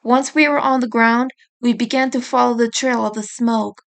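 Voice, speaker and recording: A woman reading a scripted first-person story aloud as its author, in a deliberate storytelling manner. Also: fairly high pitch, steady tone, creaky. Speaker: author reading own book chapter.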